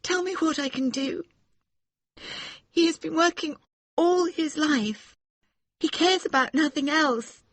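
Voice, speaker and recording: A man voicing an earnest, anxious young wife in a high, strained falsetto. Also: slow, long pauses, fairly bright tone, no room sound, exaggerated voice. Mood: pleading.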